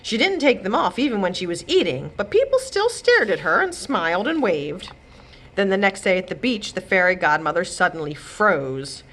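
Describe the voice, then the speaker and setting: Animated woman reading a children's story. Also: mid-range voice, normal speed, a little hiss or hum. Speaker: children's library read-aloud reader. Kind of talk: expressive storybook narration.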